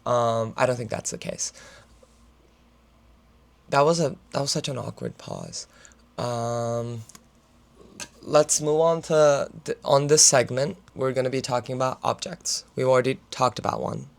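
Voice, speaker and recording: Raspy, gravelly young man rambling. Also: medium pitch, measured pace, pauses often. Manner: filler-heavy, talks with his hands. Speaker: student vlogger. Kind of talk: casual rambling vlog chat.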